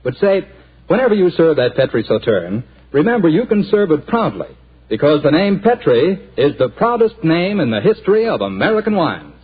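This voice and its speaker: A 1940s radio announcer, a man, delivers a hearty sales pitch in a husky voice that swings freely up and down. The sound is thin and tinny, like an old transcription. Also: very animated, moderate pace.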